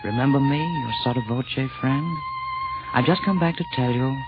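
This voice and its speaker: Sly radio-play narrator played by a man: confiding, rhyming, dull vintage sound, loud music underneath. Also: medium pitch, normal speed, close to an everyday voice. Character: sly, confiding narrator addressing listeners as a hushed friend. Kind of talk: 1940s radio narration in rhymed verse.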